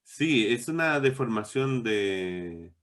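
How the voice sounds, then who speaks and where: A middle-aged man talks reflectively in an interview, in a discursive, halting way with repeated words. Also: medium pitch, lively intonation, fairly bright tone. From born in Bolivia, moved to Chile as a child. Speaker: interviewed novelist.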